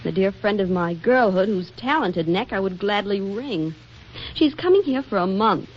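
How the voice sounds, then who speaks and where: An actress playing a flippant, scheming, exasperated wife in a 1940s radio comedy, delivering wisecracks with arch drama. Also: female voice, mid-range voice, expressive, normal speed, close to an everyday voice. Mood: wry exasperation. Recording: dull, faintly noisy 1940s radio recording.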